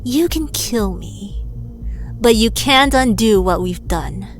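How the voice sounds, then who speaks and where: A woman as a tough, higher-voiced rebel leader. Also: expressive, slow pace, faint music behind. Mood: defiant. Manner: terse, defiant. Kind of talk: audio drama acting.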